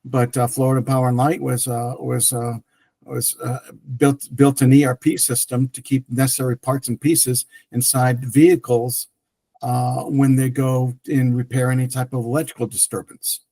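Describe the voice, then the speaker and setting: A man's voice: mid-range voice, level tone, normal speed, warm tone, no room sound. A middle-aged man whose first language is French, telling his career story as an interview guest with many restarts and fillers. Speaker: guest, tech entrepreneur.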